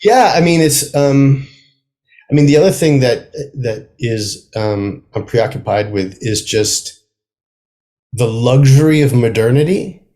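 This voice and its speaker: A man, a playwright, talking casually as an interview guest in a halting, thoughtful way, often trailing off mid-sentence. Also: medium pitch, expressive, dark tone. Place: remote video call.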